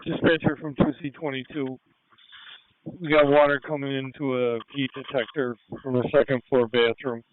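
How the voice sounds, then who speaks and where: A man's voice: medium pitch, level tone, moderate pace, dry recording. A man working as an emergency responder, giving a brief field report over a two-way radio. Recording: tinny narrow scanner radio.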